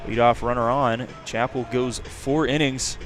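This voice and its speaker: A man's voice: medium pitch, steady tone, unhurried, faint music behind. A man giving live play-by-play commentary as a baseball radio broadcaster. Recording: clear background noise. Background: outdoor ballpark ambience.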